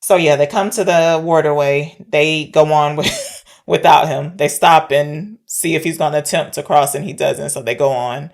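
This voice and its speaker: A woman's voice: low-pitched, moderate pace. Woman casually recapping a movie. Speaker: podcast co-host discussing films. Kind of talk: casual chat recapping a film.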